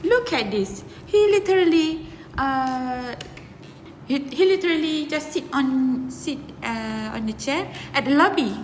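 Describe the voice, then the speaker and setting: This is a young woman with a slightly high voice. She speaks slowly and hesitantly, like a student giving an informal presentation. Long hall reverb surrounds her. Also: expressive. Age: young adult. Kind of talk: informal group presentation discussion. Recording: hall reverb with faint noise.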